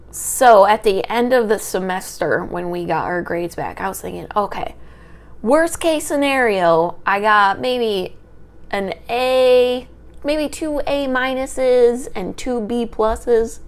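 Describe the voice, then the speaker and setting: Young woman rambling into a little mic, tiny light voice, trips over her words, raw unedited vlog. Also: medium pitch. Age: young adult. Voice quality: small, light voice. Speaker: vlogger, history student. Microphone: small handheld microphone.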